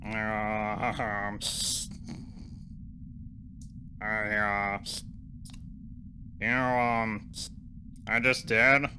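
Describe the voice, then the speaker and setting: An actor voices a sullen alien desk clerk in a comic audio drama. The delivery is extremely slow and deadpan, haughty and grudging, with a sharp, bright edge over faint background noise. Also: mid-range voice. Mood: flat, fed up.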